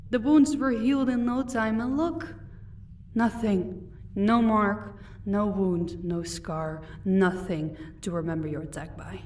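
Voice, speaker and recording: A powerful vampire mistress played by a woman speaks from a little way off the microphone at a medium pitch. She is condescending and commanding, mocking her listener with unhurried composure, as in an intimate audio drama, over faint noise. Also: distant mic, close to an everyday voice. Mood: scornful, taunting. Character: powerful female vampire, mocking, commanding, married lady of an estate. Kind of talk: audio roleplay acting addressed to the listener.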